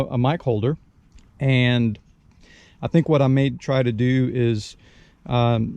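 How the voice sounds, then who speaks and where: A man's voice: mid-range voice, creaky, dark tone, no room sound. A man giving a casual, unscripted product walkthrough as a channel host, in a hesitant, rambling way with frequent repeated words.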